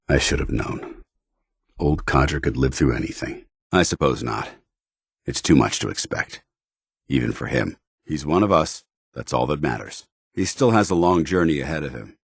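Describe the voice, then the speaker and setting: An actor playing a stern, honorable soldier in a video game, with a gruff voice and a clipped, formal, earnest delivery. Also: male voice, rumbling low, lively intonation, unhurried, no echo, studio quality, heavily acted. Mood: grave, regretful. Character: stern, duty-bound military paladin, gruff and honorable adult man. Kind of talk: video game companion dialogue.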